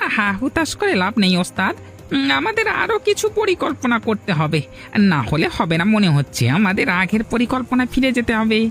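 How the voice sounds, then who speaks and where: An actor voicing a village crook's eager, fawning male henchman who repeats words excitedly in a cartoon. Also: medium pitch, a little fast, soft background music. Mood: pondering. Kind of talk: cartoon voice acting in a folk-tale drama.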